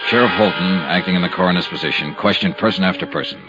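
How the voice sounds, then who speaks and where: A man's voice: low voice, fast talker, close to an everyday voice. An actor playing a wry male insurance investigator who narrates a case in an old radio drama. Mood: dry. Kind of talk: 1950s radio drama acting. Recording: dull old radio recording with music.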